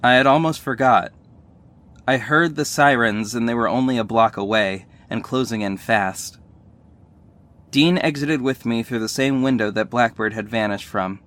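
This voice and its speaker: Audio drama lead for a male actor: earnest young hero, emotional first-person narration, medium-pitched voice. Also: moderate pace, fairly bright tone, lightly acted. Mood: steady, intrigued.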